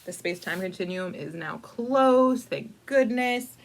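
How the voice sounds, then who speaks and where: A woman's voice: mid-range voice, expressive, vocal fry. A woman narrating a picture book in a casual, improvised storytime style, with playful, wry asides and thinking aloud as she goes. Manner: playful, wry asides with mock-dramatic commitment; conversational, frequently pausing to think aloud. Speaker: library storyteller reading a picture book to adults.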